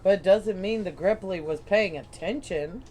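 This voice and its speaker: A woman's voice: mid-range voice, moderate pace, darkish tone, slight background noise. A woman running a tabletop game as game master, narrating in a chatty, rambling, self-deprecating way.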